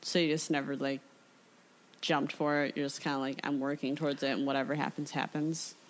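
Female American host, notably low register, informal and jokey conversational interviewing. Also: level tone, fairly quick. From United States, Illinois. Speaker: podcast host. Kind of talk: casual chat, interviewing.

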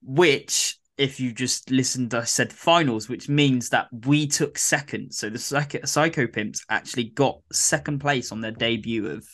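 A man co-hosting a podcast in casual chat, his voice a bit croaky, rambling with lots of fillers and restarts. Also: mid-range voice, a little slow, hardly any pauses, fairly bright tone. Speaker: podcast co-host.

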